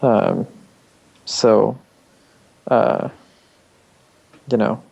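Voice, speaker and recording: A man with a nasal voice, speaking as a musician guest in a casual interview, open and reflective, often pausing with ums and restarts. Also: fairly low pitch, creaky, darkish tone, slightly rough, no room sound. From Canada.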